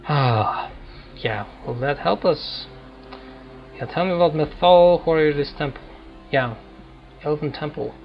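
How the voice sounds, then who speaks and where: A man hosting a role-playing game video, giving unscripted, reflective commentary in a halting way. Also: fairly high pitch, gravelly. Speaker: gaming video host. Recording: slightly dull audio, faint game music. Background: faint game music.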